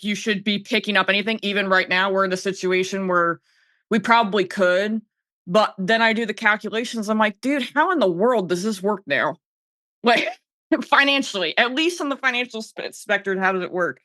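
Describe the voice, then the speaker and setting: A podcast host in their thirties with a bright, forward voice, recorded close and dry. The delivery is casual and candid, often slipping into animated self-talk as if replaying inner thoughts. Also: medium pitch, no room sound.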